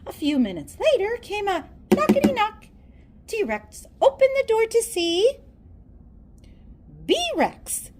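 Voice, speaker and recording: A woman narrates a children's story aloud. Her voice is high and swoops widely in pitch, and she takes her time, shaping phrases theatrically. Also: wildly swooping pitch, slow pace, pauses often, darkish tone, slight background noise. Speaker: storybook reader.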